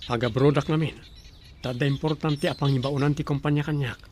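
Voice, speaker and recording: A man's voice: a little high, steady tone, brisk, darkish tone, slight background noise, natural voice. A man playing an earnest young man standing up for the woman he loves in an amateur radio drama. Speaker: son.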